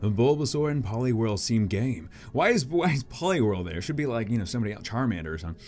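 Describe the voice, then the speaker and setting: Animated male vlogger, hugely varied pitch. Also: quick pace. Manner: animated, joking, self-deprecating. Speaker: YouTube host reading manga aloud. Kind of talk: casual vlog commentary.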